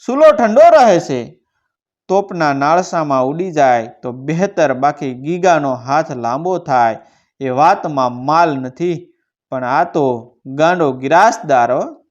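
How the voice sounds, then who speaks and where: Man, Kathiawadi Gujarati accent, bardic folk narration, declamatory delivery, raised pitch, muffled low-fidelity sound. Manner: theatrical, declamatory, rustic bardic phrasing. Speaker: storyteller. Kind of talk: dramatic Gujarati folk storytelling. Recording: dull lo-fi recording.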